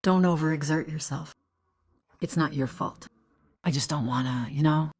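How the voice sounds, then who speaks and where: A woman playing a reserved character with a husky, creaky voice in a crime audio drama. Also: deep voice, moderate pace, darkish tone. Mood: subdued.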